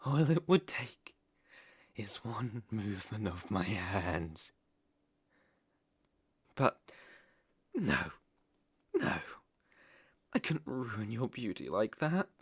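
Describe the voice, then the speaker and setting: A man's voice: lowish voice, sing-song, slightly rough, no room sound, clean studio sound, heavily acted. A man playing an obsessive, sinister young villain king in an ASMR roleplay, speaking theatrically and sometimes dropping to a whisper. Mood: threatening. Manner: sinister, theatrical, slow drawn-out phrasing with whispers.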